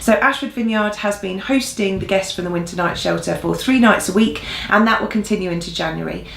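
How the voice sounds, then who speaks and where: Woman, earnest encouraging church co-host, noticeable vocal fry, echoey room. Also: medium pitch, brisk. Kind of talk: informal church news update with appeals.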